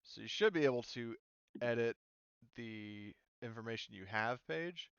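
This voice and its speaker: A man running a tabletop game as game master in casual speech, often halting and thinking aloud mid-sentence. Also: mid-range voice, animated, vocal fry, smooth, dry recording, dull audio.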